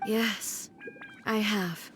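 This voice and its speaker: A woman playing a grave, regal, ageless goddess in a video game cutscene, speaking formally and with measured weight, with old grief beneath. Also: mid-range voice, fairly bright tone, slight background noise. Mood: resigned.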